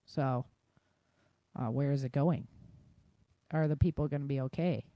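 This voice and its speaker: A woman's voice: rumbling low, fairly even intonation, a little slow, dry recording, dull audio. An American woman hosting a podcast in an informal, chatty, self-deprecating way, talking through the news unscripted. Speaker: podcast host. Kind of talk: casual unscripted chat about news.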